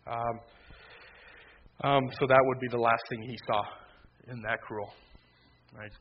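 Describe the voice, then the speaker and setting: A man preaches in a conversational, loud voice that keeps to a narrow, flat line but jumps up in emphatic bursts. The recording is slightly dull, with faint noise behind him. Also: medium pitch, measured pace. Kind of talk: sermon.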